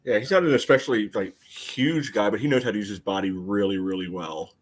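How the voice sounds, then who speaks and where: A man's voice: on the low side, a little fast. A middle-aged American man chatting about soccer as a podcast panelist, in a rambling, conversational way. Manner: rambling, leans on "you know". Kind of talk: casual soccer analysis chat.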